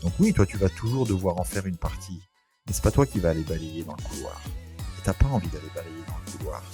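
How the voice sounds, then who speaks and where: A man's voice: sits low, quick pace, warm tone, faint music behind. A man talks informally in an interview, explaining things in a conversational way. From French Antilles, Saint-Barthélemy. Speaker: podcast guest, real estate investor.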